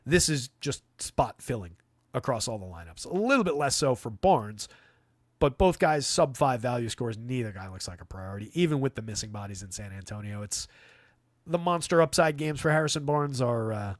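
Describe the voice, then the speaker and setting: A man's voice: mid-range voice, big pitch swings, moderate pace, dry recording. A man hosting a live fantasy basketball stream, talking through analysis off the cuff in a conversational, dry way. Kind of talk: live unscripted sports analysis with chat replies.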